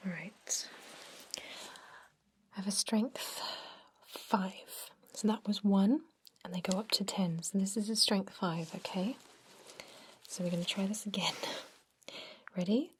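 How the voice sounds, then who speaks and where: Slow whispering woman, soft clinical roleplay. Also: mid-range voice, slight background noise. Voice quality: breathy, mostly unvoiced whisper. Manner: gentle, reassuring clinician giving step-by-step instructions. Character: calm, reassuring female vampire doctor examining a patient. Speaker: ASMR roleplayer playing a vampire doctor. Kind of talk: whispered ASMR medical roleplay.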